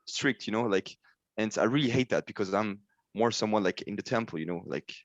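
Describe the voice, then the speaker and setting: A man's voice: fairly low pitch, a little fast, no echo, highs cut off. A man in his twenties from Switzerland, a non-native English speaker, modestly describing himself as a guest and searching for words. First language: not English. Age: 20s. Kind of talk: casual interview talk.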